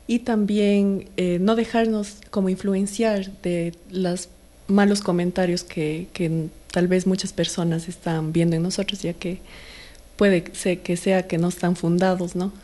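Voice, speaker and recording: Low-voiced woman with a Cuenca accent. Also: fairly even intonation, a little slow, dark tone. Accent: Cuenca, Ecuador. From Ecuador. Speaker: radio guest.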